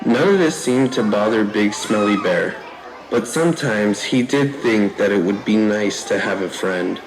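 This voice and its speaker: A storyteller narrating a scripted children's tale in a deliberate, theatrical way. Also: medium pitch, animated, a crowd in the background, poor audio. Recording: live show with audience noise.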